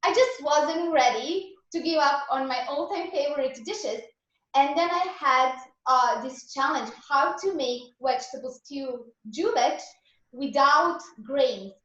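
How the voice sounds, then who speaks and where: A woman's voice: high-pitched, fairly bright tone, very echoey, dull audio. A woman from Serbia with a Serbian accent, a raw vegan chef guiding a cooking class in an earnest, encouraging way. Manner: earnest, encouraging, gives step-by-step instructions.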